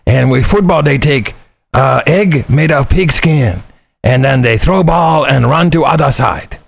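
A man putting on a Chinese spy who reports to his leader in halting broken English, telling a joke. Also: mid-range voice, creaky, pitched above the performer's own voice. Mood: mock earnest.

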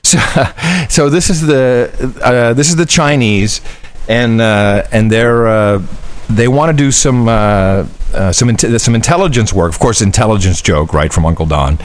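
A man's voice: medium pitch, unhurried. A man hosting a podcast, chatting casually and telling stories in a rambling way. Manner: rambling, animated storytelling. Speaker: podcast host. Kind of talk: casual chat.

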